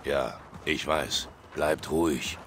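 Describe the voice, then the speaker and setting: Deep, gruff old veteran soldier. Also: male voice, fairly even intonation, slow pace, background noise. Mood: terse, commanding. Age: older. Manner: terse, dry, commanding. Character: gruff, weathered veteran soldier father, dry-witted. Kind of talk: German video game dubbing.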